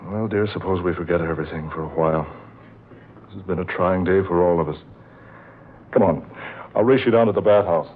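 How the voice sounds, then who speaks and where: A man's voice: deep voice, fairly quick, natural voice. An actor playing a kindly, reassuring male companion, earnest and affectionate, in an old-time radio drama. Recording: tinny narrow old radio, faint hiss.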